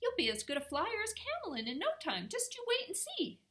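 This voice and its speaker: A woman voicing a tiny, excitable, fussy male bat in a storybook. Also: extremely high pitch, a little fast, pitched above the performer's own voice, exaggerated voice. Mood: fussy, eager. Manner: fussy, effusive.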